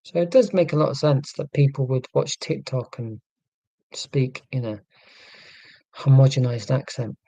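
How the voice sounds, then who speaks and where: Slow, mellow southern English man. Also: mid-range voice, no room sound, poor audio. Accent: neutral southern English. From Scotland, raised in England. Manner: slow, deliberate speech with pauses. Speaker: vlogger. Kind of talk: casual reflective monologue.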